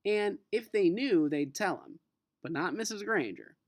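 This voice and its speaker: Man, schoolteacher, expressive read-aloud, informal lesson delivery, very varied intonation, dry sound. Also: sits high, unhurried, no echo. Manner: expressive, informal, addressing students. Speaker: teacher reading a children's novel aloud. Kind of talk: read-aloud narration mixed with casual lesson talk.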